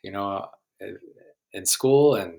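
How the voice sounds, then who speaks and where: A young man from Guatemala, a filmmaker guest on a podcast, talking in a halting, hesitant way with many restarts. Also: lowish voice, creaky, darkish tone, low-quality recording. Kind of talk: casual podcast interview.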